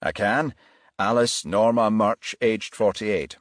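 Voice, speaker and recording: A man voicing a businesslike senior police chief inspector with a measured, official manner in an audiobook. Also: fairly low pitch, no echo, studio quality. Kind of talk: audiobook character voice.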